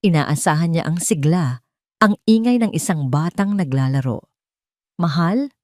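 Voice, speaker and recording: A woman reading a scripted dramatic story as narrator, in a measured, suspenseful way. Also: low-pitched, expressive, a little mellow, no room sound, studio quality. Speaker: narrator of audio drama stories.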